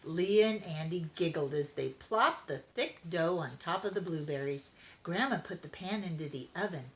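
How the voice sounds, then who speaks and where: An older woman reading a children's story aloud in an expressive narrating style. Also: on the low side, normal speed, slight background noise. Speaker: storybook reader.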